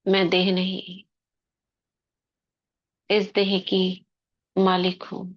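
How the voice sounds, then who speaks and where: A woman guiding a spiritual meditation in slow, soft short phrases with long pauses. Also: medium pitch, monotone, dull audio. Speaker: meditation guide. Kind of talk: guided meditation commentary.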